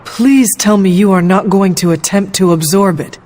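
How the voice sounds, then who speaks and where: A woman voicing an earnest, wary warrior in a video game, speaking in a formal, measured way. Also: mid-range voice, a little mellow, natural voice. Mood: wary, concerned. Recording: music bed behind the voice.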